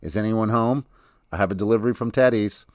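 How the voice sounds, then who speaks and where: Polite, curious young woman for an audiobook, played by a male narrator, slightly flustered, lifted pitch, close dry sound. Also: fast, a little mellow, no room sound, natural voice. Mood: calling out. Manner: polite, questioning.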